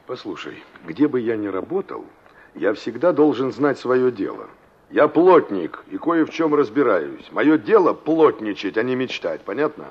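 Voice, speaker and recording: An actor playing a brusque, mocking carpenter in a Soviet radio play, talking down to a younger listener. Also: male voice, mid-range voice, big pitch swings, moderate pace, breathy edge. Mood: brusque, teasing. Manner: sardonic, condescending. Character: brusque, mocking carpenter, older than the girl he talks to. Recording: dull, muffled 1960s radio recording.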